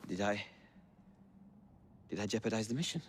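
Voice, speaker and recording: A man's voice: fairly low pitch, lively intonation, normal speed, slight background noise, natural voice. An actor voicing a shy, earnest man who stammers and doubts himself, in a game cutscene. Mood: anxious. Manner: hesitant and self-doubting, stammers. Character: shy, earnest male ally, eager to help. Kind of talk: video game cutscene acting.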